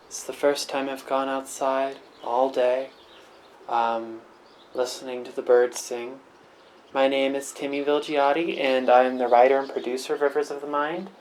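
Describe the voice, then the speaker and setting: A man's voice: mid-range voice, a little mellow, slight background noise, low-quality recording. Casual male podcast host outdoors. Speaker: writer, producer and host. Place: outdoors on a porch.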